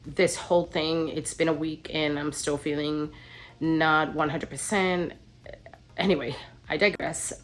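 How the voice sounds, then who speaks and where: A woman's voice: sits low, steady tone, measured pace. A middle-aged woman vlogging in a casual, rambling chat, sounding nasal as she gets over the flu. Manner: rambling, many ums and restarts.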